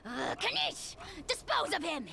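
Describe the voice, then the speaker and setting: Sharp, pompous little dragon lord. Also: female voice, very high-pitched, huge pitch swings, unhurried, creaky, exaggerated voice. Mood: scornful. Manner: pompous, sneering, self-important. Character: small, arrogant male dragon lord, scornful and haughty. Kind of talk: video game character acting.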